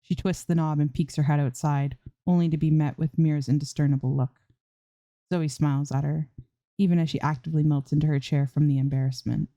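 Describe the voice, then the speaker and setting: A woman's voice: deep voice, fairly even intonation, a little fast, dark tone, no room sound, dull audio. A woman reading fan fiction aloud as an amateur narrator, in scripted storytelling style.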